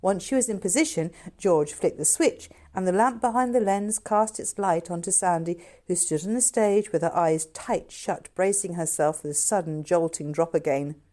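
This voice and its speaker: A woman author reading her own novel aloud in an expressive storybook narration style. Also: fairly low pitch, normal speed, few pauses.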